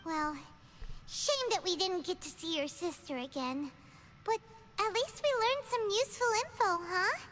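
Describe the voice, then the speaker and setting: A woman voicing a small, cheerful, chatty fairy companion in a video game, with a squeaky childlike voice and a bubbly manner. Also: very animated, moderate pace, fairly bright tone, a little hiss or hum, full character voice. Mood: sympathetic. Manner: speaks of self in third person, bubbly. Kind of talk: video game character dialogue.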